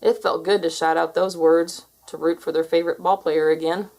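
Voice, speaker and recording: Lady reading a kids book out loud, kinda low voice, expressive storytelling. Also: normal speed. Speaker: storybook reader. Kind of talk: expressive scripted narration for children.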